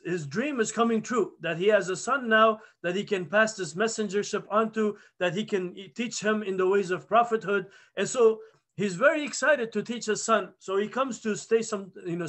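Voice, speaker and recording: High-voiced man telling a religious story. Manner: earnest, animated retelling with restarts. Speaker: halaqa teacher. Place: plain indoor room. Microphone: webcam video call.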